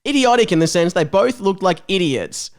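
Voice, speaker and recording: A young man with a fairly high voice and very lively intonation. He reads a comic story aloud, then drops into casual banter to mock it, as a joking podcast guest. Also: normal speed, clean tone. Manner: joking, mocking, often breaking into laughter.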